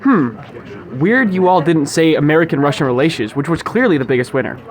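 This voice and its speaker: A man's voice: on the high side, lively intonation, brisk. A man hosting a podcast in a wordy, mock-formal comedic style. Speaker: podcast host. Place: local restaurant. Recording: faint background noise of public place.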